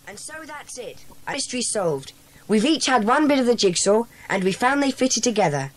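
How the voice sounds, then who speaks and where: Woman as a lively, decisive schoolboy leader. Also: on the high side, normal speed, a crowd in the background. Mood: relieved, satisfied. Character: bossy, cheerful schoolboy leader of a secret society. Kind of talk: dramatised children's audiobook acting.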